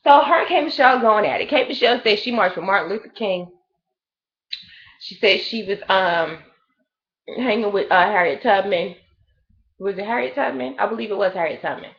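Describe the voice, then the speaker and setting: A woman's voice: mid-range voice, lively intonation, normal speed, pauses often, a bit bright, dull audio. A woman in her late thirties giving a blunt, profane, rambling gossip review of a reality show in a casual chat. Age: 30s.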